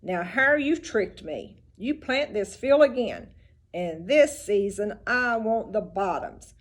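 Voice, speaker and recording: A woman's voice: mid-range voice, expressive, takes it slowly. A woman voicing a sleepy, gullible male bear, drowsy and grumbling, in a storybook read-aloud. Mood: grumbling, indignant.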